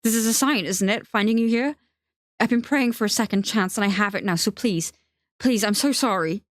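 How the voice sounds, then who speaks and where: A woman voicing a frightened, remorseful young man in love, pleading in a wavering, halting way in an audiobook. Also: fairly low pitch, a little mellow, no room sound, studio quality, lightly acted. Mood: desperate pleading.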